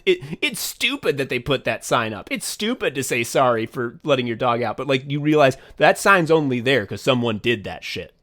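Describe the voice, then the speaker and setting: A man co-hosting a podcast tells long, rambling stories in casual chat. He swears freely, restarts his sentences and gets animated as he goes. His voice sits at a middle pitch for a man. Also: normal speed, hardly any pauses. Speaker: podcast co-host. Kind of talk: casual behind-the-scenes chat.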